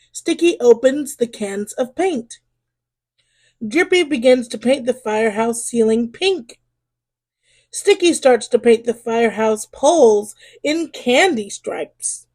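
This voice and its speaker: A woman reading a children's story aloud in an expressive way, drawing out key words for emphasis. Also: a little high. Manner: animated, drawn-out emphasis on exclamations and key phrases.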